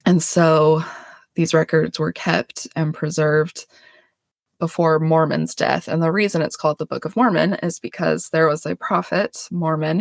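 Woman host, some vocal fry, dry close sound, earnest and chatty. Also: deep voice, dry recording. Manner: earnest, self-deprecating, laughs often. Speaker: podcast host. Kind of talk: casual religious explanation.

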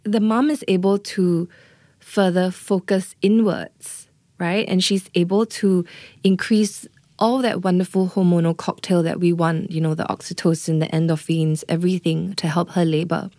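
A woman's voice: fairly low pitch, steady tone, normal speed, dark tone, dry recording. Dry, earnest woman doula explaining. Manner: earnest, often checks in with "right?" and "you know". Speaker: doula, guest. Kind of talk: explanatory interview talk.

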